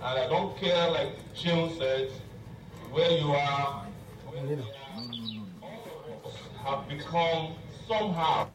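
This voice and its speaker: A man's voice: fairly high pitch, takes it slowly, background noise. A man speaking in a recorded clip. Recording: roomy, distant clip with music.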